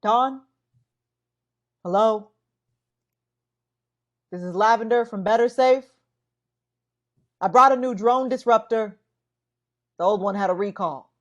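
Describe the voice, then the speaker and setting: Halting, earnest young investigator. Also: female voice, a little high, highs cut off. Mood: calling out, wary. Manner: halting, flustered, earnest, wry. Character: awkward, smitten young privacy-gear seller and amateur investigator, Lavender. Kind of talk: naturalistic radio drama acting.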